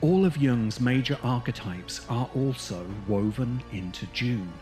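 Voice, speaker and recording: A man delivering scripted documentary narration in a measured, deliberate way. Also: medium pitch, expressive, a little mellow. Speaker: narrator, video essayist. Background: music bed.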